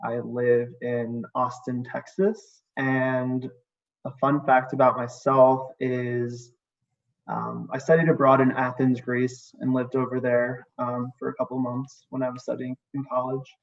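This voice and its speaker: A man in his early twenties, a marketing analyst, introducing himself in an even, steady way. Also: mid-range voice, a little slow, creaky. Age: early 20s. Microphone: video call. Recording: distant, muffled mic.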